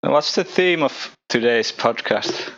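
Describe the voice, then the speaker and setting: A man's voice: medium pitch, expressive, unhurried, vocal fry, fairly bright tone, muffled recording. A man hosting a podcast, chatting casually in a laid-back way and laughing between his words. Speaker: podcast host. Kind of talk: casual chat.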